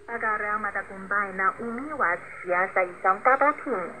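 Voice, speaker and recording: A woman's voice: medium pitch, moderate pace. Woman presenter on noisy shortwave radio. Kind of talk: scripted narration of a sports biography.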